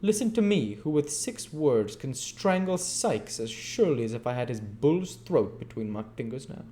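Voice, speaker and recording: A man voicing a scheming old male gang master, oily and coaxing but quick to fury. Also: on the high side, animated, a little mellow, slight background noise, pitched above the performer's own voice. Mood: furious.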